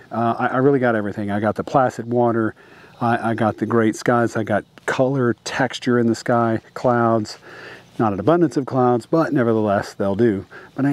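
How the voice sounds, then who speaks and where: A man's voice: medium pitch, darkish tone. Casual middle-aged man talking outdoors. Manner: casual, rambling, many fillers and restarts. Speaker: landscape photographer. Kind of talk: outdoor vlog with photography tips. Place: outdoors by a lake at dusk.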